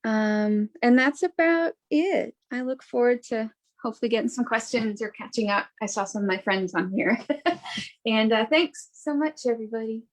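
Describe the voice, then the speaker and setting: A woman artist casually demonstrating her work on a video call, relaxed and chatty with fillers. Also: fairly high pitch, normal speed. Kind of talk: casual demo talk.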